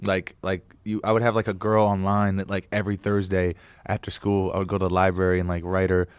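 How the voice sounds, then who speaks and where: A man's voice: sits low, level tone, fairly quick, smooth, no echo. A man of thirty hosting a casual interview from a hotel conference room, laid-back and joking, swearing freely. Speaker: podcast host.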